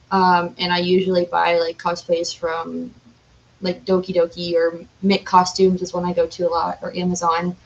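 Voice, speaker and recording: A woman chatting casually as an interview guest, talking in an animated, chatty way with "like" sprinkled through. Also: mid-range voice, muffled recording. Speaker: podcast guest, cosplayer.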